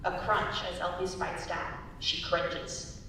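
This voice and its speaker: A woman's voice: lowish voice, little pitch movement, slow, pushed and bright. A young adult woman reading scripted stage directions as narrator at a live staged reading. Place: large indoor room at a live reading. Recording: echoey hall from far off, faint noise.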